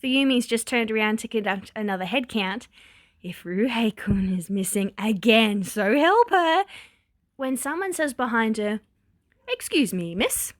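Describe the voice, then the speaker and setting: A woman narrating a written story with expressive, wry, exasperated emphasis. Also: on the high side, a little slow, no room sound, studio quality. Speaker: podfic narrator reading fan fiction.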